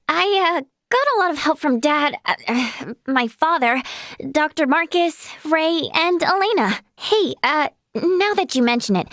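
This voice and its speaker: A woman voicing a cheerful, earnest young female healer in a fantasy video game, bubbly and expressive. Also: high-pitched, no echo, clean studio sound, exaggerated voice. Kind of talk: animated fantasy game character acting.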